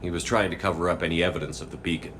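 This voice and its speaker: A man's voice: deep voice, fairly even intonation, rapid-fire, fairly bright tone, slight background noise. A man acting a steadfast military commander in a video game, firm and restrained. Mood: resolute.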